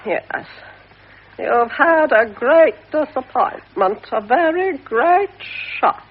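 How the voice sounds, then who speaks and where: An actress with a British accent playing a shrewd, manipulative fortune teller who coaxes and commands theatrically in a 1950s radio drama. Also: female voice, sits high, expressive, slow, husky, full character voice. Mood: coaxing, sympathetic. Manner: theatrical, cooing, coaxing and imperious by turns. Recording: muffled 1950s radio recording.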